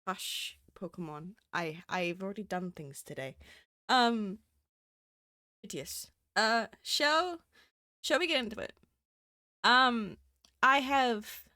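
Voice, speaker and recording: A woman's voice: mid-range voice, fairly bright tone, no room sound, studio quality. A woman streaming live, chatting casually with many fillers and giggles, a bit sniffly from a cold. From Southern Hemisphere. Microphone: desk microphone.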